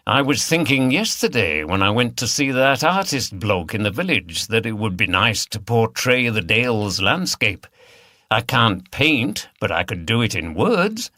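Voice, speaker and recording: A man's voice: fairly low pitch, very animated, a little slow, sharp tone, no room sound, clean studio sound, full character voice. A man voicing a tired, good-humoured older male detective with a mild, self-deprecating manner in an audiobook. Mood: musing.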